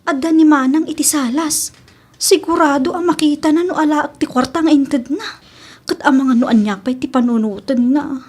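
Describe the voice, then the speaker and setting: A woman's voice: high-pitched, normal speed, a little mellow, natural voice. A woman playing a proud, demanding young college daughter in a radio drama, petulant and insistent. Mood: offended. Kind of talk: amateur radio drama acting.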